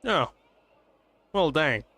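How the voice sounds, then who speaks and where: A man's voice: a little high, very animated, drawn-out words, pushed and bright, slight background noise. A man streaming a game with casual live reactions, exclamatory and drawn out.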